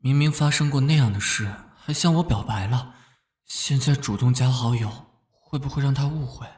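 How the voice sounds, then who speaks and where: An actor playing a warm-hearted, easily flustered young male detective in an animated drama, fretting and scolding himself. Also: medium pitch, moderate pace, husky, dry recording, clean studio sound, lightly acted. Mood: tender, hesitant. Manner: expressive, fretful, self-scolding. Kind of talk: animated drama dialogue and inner monologue.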